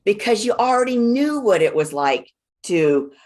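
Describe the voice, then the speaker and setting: A woman giving a one-on-one astrology reading, explaining in measured, deliberate phrases with repeated words for emphasis. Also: medium pitch, big pitch swings, a little mellow.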